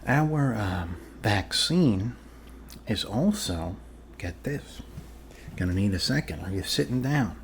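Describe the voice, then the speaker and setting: Male, lowish voice, unhurried deadpan family doctor for ASMR role-play; halting, deliberate delivery with slight noise behind. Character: blunt, casually shady male family doctor reassuring a patient. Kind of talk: ASMR medical role-play, improvised personal-attention talk.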